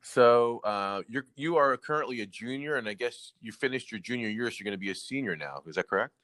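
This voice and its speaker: Fast-talking man hosting a podcast. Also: mid-range voice, animated, a bit bright, dry recording. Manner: upbeat, encouraging. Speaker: podcast host. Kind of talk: podcast interview host.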